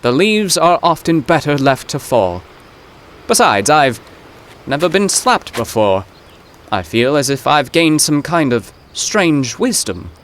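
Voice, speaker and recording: A man's voice: on the high side, very animated, slow pace, a bit bright, a little hiss or hum, heavily acted. An actor playing a pompous, bewildered professor out of his own time in a comic audio drama, speaking in florid, formal, theatrical language. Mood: solemn, indignant.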